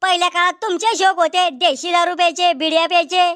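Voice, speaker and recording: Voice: very high voice, fairly even intonation, brisk, bright, forceful tone. A performer voicing an argumentative, sarcastic village family member in a comedy cartoon. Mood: bickering. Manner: bickering, sarcastic, blunt. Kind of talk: Marathi comedy cartoon dialogue.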